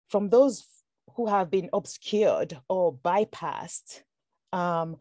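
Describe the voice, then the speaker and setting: Thoughtful Nigerian-born woman, low voice. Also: mellow, no echo. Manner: thoughtful, with many restarts and fillers. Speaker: author guest. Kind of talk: conversational podcast interview.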